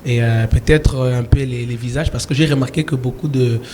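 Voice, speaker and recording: A man from eastern DR Congo, a gospel singer, answering interview questions calmly with hesitant restarts. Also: medium pitch, fairly quick, background noise. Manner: calm, hesitant with repeated restarts.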